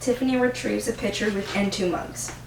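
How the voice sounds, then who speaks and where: Young female narrator, amateur scripted reading, middle pitch, echoey, faint noise. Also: fairly even intonation, a little fast, creaky, a little mellow. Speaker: narrator reading stage directions. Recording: roomy, distant mic, faint noise.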